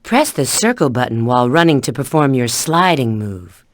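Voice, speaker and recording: A woman's voice: a bass voice, big pitch swings, normal speed, no echo, clean studio sound. A woman voicing a confident, flirty female bat spy and treasure hunter in a video game, with a cool, knowing, instructive manner. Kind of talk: video game character voice acting.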